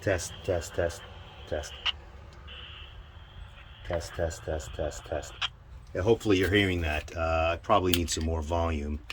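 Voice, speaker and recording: Deep-voiced man over background noise. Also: steady tone. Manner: halting, thinking aloud. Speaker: presenter demonstrating radio equipment. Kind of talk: informal hands-on demonstration.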